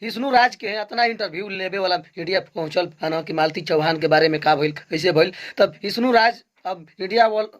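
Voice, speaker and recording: A male video host with a Bhojpuri accent and a clearly high-pitched voice. He addresses viewers casually, like a vlogger introducing and commenting on clips. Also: a little fast, hardly any pauses.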